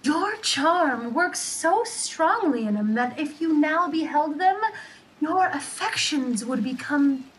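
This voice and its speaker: A woman plays an airy spirit servant in a Shakespearean radio play. The voice is high and unhurried, deferential and gentle toward its mistress. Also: lively intonation, slight background noise, rough recording. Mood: dutiful, tender. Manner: measured, deferential.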